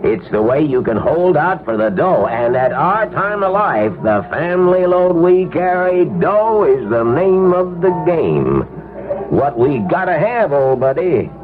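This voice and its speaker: An actor playing a worldly, pushy middle-aged American salesman with a gravelly voice and folksy, insistent delivery in a radio drama. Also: a little high, very animated, measured pace. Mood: knowing, insistent. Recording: tinny old radio recording, music behind.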